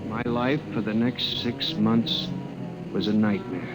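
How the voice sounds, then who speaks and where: A man's voice: lowish voice, steady tone, slow pace, poor audio. An actor playing a frantic, haunted man who narrates his own nightmare of murderous machines, with intense theatrical delivery in an old-time radio drama. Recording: old radio recording with dramatic music behind.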